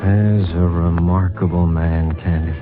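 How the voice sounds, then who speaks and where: An actor playing a weary, thoughtful police lieutenant in a 1950s radio drama. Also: male voice, low voice, fairly even intonation, a little slow. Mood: reflective. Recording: tinny vintage radio recording with music.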